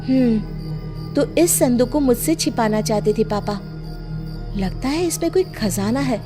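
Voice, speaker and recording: Woman acting a high-pitched, curious young girl. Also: lively intonation, unhurried, over music. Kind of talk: animated story voice acting.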